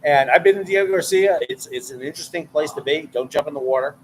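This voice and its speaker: A man's voice: on the high side, fast talker, a little hiss or hum, low-quality recording. A man from New York, a military analyst who hosts a channel, talking casually and with opinions in a bar, often repeating words while he thinks. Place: bar, ambient noise.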